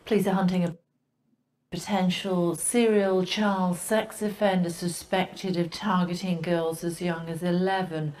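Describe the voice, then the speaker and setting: A woman's voice: lowish voice, little pitch movement, a little slow, darkish tone, a little hiss or hum, rough recording. A woman presenting television news in a formal newsreader style. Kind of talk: scripted news reading.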